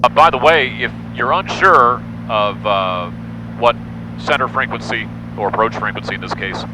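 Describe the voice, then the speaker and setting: A man with an American accent, a pilot and flight instructor, explaining casually with hesitant pauses. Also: mid-range voice. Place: small aircraft cockpit in flight. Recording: loud aircraft engine hum. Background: engine drone.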